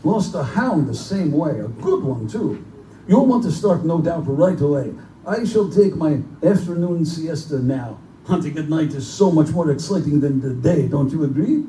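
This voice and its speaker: Man playing a mellow, menacing aristocratic general. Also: fairly high pitch, expressive, normal speed, close to an everyday voice. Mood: gleeful, courtly. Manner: urbane, pompous, condescending. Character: genteel, menacing aristocratic general who hunts men for sport. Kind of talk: live theatrical horror drama. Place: live performance in a bookstore. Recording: distant, echoey live room recording with noise.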